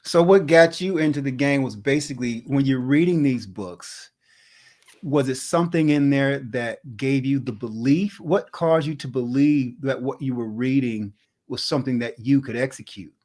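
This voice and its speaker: A man's voice: mid-range voice, darkish tone. Upbeat male podcast host. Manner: enthusiastic, quick reactions. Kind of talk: interview questions.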